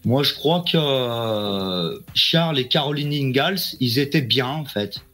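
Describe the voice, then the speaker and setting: A man's voice: medium pitch, animated, unhurried, background noise. A man in his forties talking casually on a live talk show, rambling and hesitant, with plenty of slang. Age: 40s. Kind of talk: casual chat.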